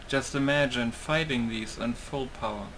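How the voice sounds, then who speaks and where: A man's voice: medium pitch, moderate pace, fairly bright tone, slight background noise, poor audio. A man giving casual let's play commentary, thinking aloud informally in short bursts. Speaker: gaming video host.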